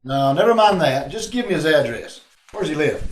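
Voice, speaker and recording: Guy doing tough wisecracking old radio sleuth, kinda high voice, sure of himself, echoey room. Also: animated, moderate pace, close to an everyday voice. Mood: calm, confident. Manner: wisecracking, self-assured. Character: cocky, tough male amateur sleuth, quick to act. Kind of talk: 1940s radio drama acting. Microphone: video call. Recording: echoey online-call recording with background noise.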